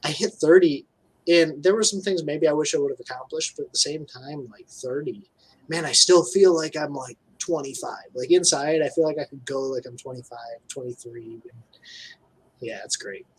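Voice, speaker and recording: A man's voice: fairly high pitch, moderate pace, breathy edge, low-quality recording. A thirty-year-old man chatting casually as a guest on a live video call from home, laid-back and colloquial. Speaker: guest angler. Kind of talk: casual chat.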